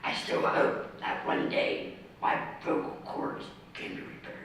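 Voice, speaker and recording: A man in his thirties from Ohio with a raspy, mostly whispered voice from vocal cord damage, reading a personal speech slowly and methodically with restarts. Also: fairly bright tone. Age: 30s. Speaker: award speaker, ordained minister. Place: community center hall. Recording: echoey hall from far off, faint noise.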